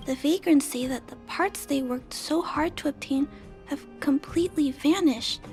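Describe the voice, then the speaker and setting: A woman voicing a gentle, earnest little girl in a video game, worried and polite, with soft, careful speech. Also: sits high.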